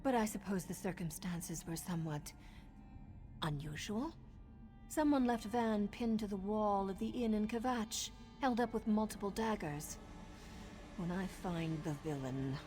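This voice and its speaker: An actress voicing a flirtatious, wicked noblewoman assassin in a video game, with a teasing, breathy manner. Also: medium pitch, a little mellow. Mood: stern, menacing. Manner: teasing, coquettish, breathy asides. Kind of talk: video game character acting. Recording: background music under the voice.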